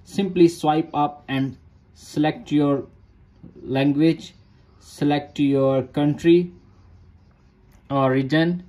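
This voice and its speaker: Guy slowly walking you thru phone steps, mellow voice, short repeated commands. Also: on the high side, steady tone. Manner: short repeated instructions in non-native English. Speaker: tech tutorial host. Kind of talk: step-by-step screen tutorial narration.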